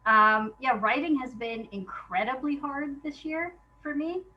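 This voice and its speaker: A woman's voice: sits high, a little slow, lo-fi sound. A woman talking casually in an interview, chatty and self-deprecating in an informal way. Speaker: playwright being interviewed.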